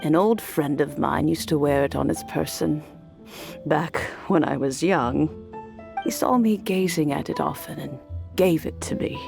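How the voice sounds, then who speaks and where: A woman playing a kindly old granny in a video game, unhurried, rambling and gently nostalgic. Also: sits low, vocal fry, faint music behind, exaggerated voice. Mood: wistful. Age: older. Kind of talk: video game character acting.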